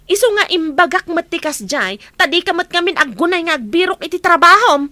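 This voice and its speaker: Nagging exasperated filipino wife, ilocano accent, high bright piercing voice, radio drama. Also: female voice, expressive, brisk, no room sound, exaggerated voice. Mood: scolding. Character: exasperated, scolding wife of a petty thief.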